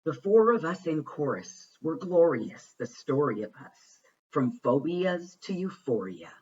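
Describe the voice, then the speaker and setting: A man giving an expressive read-aloud of a rhyming children's picture book, with deliberate, dramatic phrasing. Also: on the high side, slightly rough. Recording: muffled, distant microphone.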